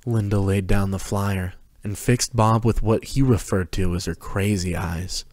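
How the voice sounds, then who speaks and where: A man reads a story as narrator in a low, level voice. The delivery is scripted and measured in tone, and the sound is dry and close. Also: fairly even intonation, a little mellow, no echo.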